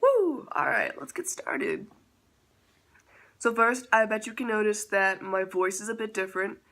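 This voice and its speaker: A man's voice: sits high, wildly swooping pitch, measured pace, pushed and bright. A man chatting casually in a vlog update, self-teasing and laughing often, with a stuffy nose from a slight cold. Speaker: vlogger.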